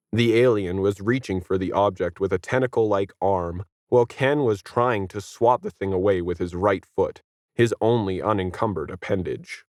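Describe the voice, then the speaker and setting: A man's voice: on the low side, a little mellow, no room sound, clean studio sound. A man reading scripted fiction as a story narrator.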